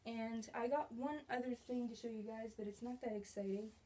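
A woman's voice: a little high, steady tone, normal speed, dark tone, a little hiss or hum, low-quality recording. A young woman casually chatting through a grocery haul in her small apartment, bubbly and gesturing as she talks, recorded on a camera a few feet away. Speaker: vlogger. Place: small studio apartment bedroom, indoors.

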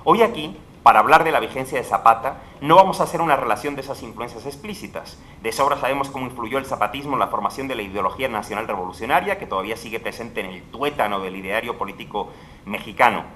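A middle-aged man reading a formal academic lecture at a panel table mic in a large hall, measured and emphatic, dropping his voice at phrase ends. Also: medium pitch, a bit bright, a little hiss or hum. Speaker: conference speaker. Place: large legislative hall, indoors. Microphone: table microphone at a panel.

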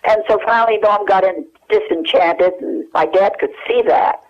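A woman's voice: medium pitch, moderate pace. An older woman, a guest on the phone, recalling family stories in a rambling way with many fillers. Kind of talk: telephone interview. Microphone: telephone. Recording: muffled telephone line.

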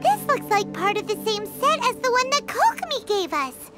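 A tiny, childlike female fairy from a video game, squeaks in an extremely high voice. She is excitable and quick to indignation, her pitch bouncing dramatically. Loud music plays underneath. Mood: curious, determined. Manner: refers to herself in third person, dramatic.

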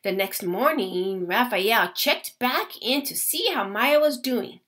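A woman teacher reading a children's picture book aloud to her class. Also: a little high. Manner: slow, expressive storytelling.

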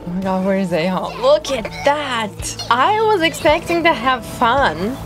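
A young woman giving casual, playful and excitable commentary as she streams a video game. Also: fairly high pitch, unhurried. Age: young adult. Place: small indoor home room. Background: game music.

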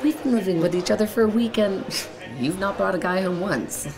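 An actress playing a brash, party-loving female flatmate. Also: mid-range voice, brisk, music in the background, lightly acted.

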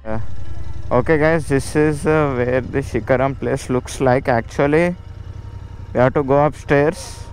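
A man's voice: mid-range voice, a little slow. Indian man vlogging over music. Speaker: motovlogger. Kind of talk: casual travel vlog narration. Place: outdoors on a motorbike road trip.

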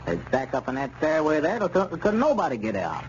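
Insistent high-voiced country fellow, old radio. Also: male voice, huge pitch swings, brisk. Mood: urgent. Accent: rural Southern US. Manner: urgent, admonishing, folksy. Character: insistent, righteous small-town country man. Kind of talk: 1930s radio serial acting. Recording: muffled, hissy 1930s radio recording.

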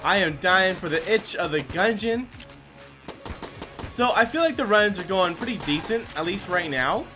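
A man with a high, bright voice gives animated let's play commentary as a gaming video host. He talks to himself and to the game's characters as he plays, with game music running underneath. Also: normal speed.

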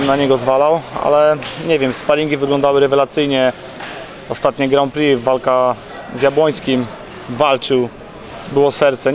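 A man in his thirties, a boxing coach, giving hesitant post-fight interview answers while searching for words. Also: medium pitch. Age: 30s. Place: indoors at arena interview backdrop. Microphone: handheld interview mic. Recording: very loud crowd noise behind.

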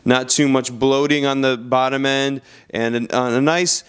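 A man's voice: mid-range voice, fairly even intonation, a little slow, clean tone. A man narrating a product review in an informal, conversational style, as a reviewer talking through features. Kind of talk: casual video review narration.

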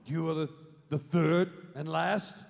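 Pleading, frightened old miser, acted by a man: high, creaky, radio play in a reverberant hall. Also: steady tone, a little mellow, full character voice. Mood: fearful. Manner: anxious, halting, imploring. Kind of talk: staged radio drama reading. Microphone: stage mic on stand.

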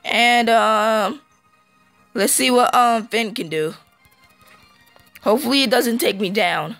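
A man with a sore, hoarse voice talks over his gameplay slowly and haltingly, filling gaps with ums and clearing his throat. The sound is dry and close, picked up on a phone. Faint game music plays behind him. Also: high voice, sharp tone, dry recording. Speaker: let's play gamer. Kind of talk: casual gameplay commentary.

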